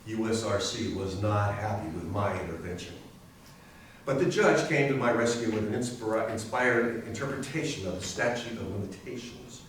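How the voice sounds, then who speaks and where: A man's voice: fairly low pitch, expressive, normal speed, close to an everyday voice. A man playing a lawyer who recounts a court case in a staged readers theater narration. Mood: earnest, measured. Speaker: community theatre performer. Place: library readers theater performance, indoors. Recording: very echoey hall, distant, faint hiss.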